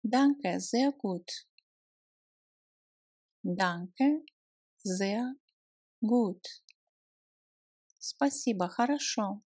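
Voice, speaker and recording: A woman teaching short phrases at a very slow, deliberate pace, splitting each one into small pieces before repeating it whole. Her voice is husky and a little breathy. The sound is dry and close. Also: mid-range voice, darkish tone, no echo. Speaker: language teacher. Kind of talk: slow phrase drill with translations.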